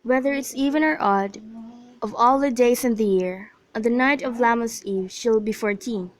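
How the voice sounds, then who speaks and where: A woman's voice: fairly high pitch, normal speed, natural voice. A woman playing a fond, bawdy old nurse in an amateur radio drama, chatty and rambling as she repeats herself. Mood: reminiscing. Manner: chatty, repetitive storytelling.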